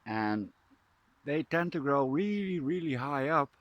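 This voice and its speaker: A man with a Dutch accent, softly narrating a mushroom hunt in a forest as a nature vlogger. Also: a little high, lively intonation, measured pace, dry recording, lo-fi sound. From Netherlands. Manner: soft-spoken, drops to a hushed near-whisper up close. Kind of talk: casual narration while foraging. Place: forest, outdoors.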